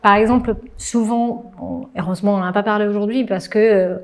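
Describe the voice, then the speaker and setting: Italian-born woman for a panel talk, native Italian, lively and anecdotal, mid-range voice. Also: mid-range voice, fairly quick. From Italy. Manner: animated, storytelling with asides. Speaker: content designer, panelist. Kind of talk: casual panel discussion.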